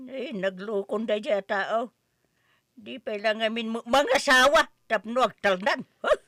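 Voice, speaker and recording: A woman playing an excitable, scolding village woman in a comedy drama, with an Ilocano accent and expressive, exclamatory delivery. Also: on the high side, moderate pace, dry recording, studio quality, close to an everyday voice. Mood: agitated, scolding.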